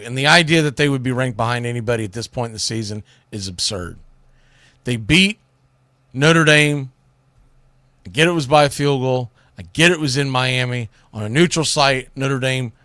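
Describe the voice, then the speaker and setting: A man with a slightly high-pitched voice delivers a sports radio monologue in a dry, close recording. He is conversational and opinionated, leaning hard on key words and pausing for effect. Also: a bit bright, dry recording. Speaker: sports radio show host. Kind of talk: casual sports commentary monologue.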